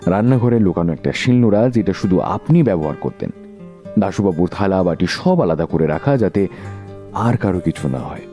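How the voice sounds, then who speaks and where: A man playing a sharp detective in a Bengali audio drama, speaking with rhetorical, theatrical questioning. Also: deep voice, lively intonation, a little fast, soft background music. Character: sharp, theatrical male detective unmasking a killer.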